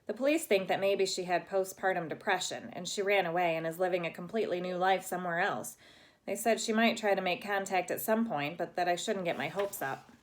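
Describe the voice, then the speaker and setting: An earnest, matter-of-fact young girl from a storybook who loves books, read by a woman. She speaks plainly and sincerely, set only slightly above the reader's own low voice. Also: a little fast, lightly acted.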